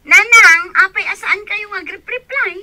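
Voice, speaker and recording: A woman's voice: very high voice, a little slow, pushed and bright, heavily acted. A woman playing an impatient young adult daughter in a radio drama, insistent and scolding. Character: impatient grown daughter telephoning her elderly parents. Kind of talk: radio drama acting in rural family comedy.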